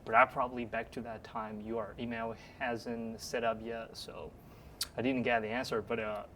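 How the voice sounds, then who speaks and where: A young man from China, a native Chinese speaker, answering eagerly in halting, non-native phrasing as a student guest. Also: mid-range voice, flat delivery, fairly bright tone, a little hiss or hum. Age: young adult.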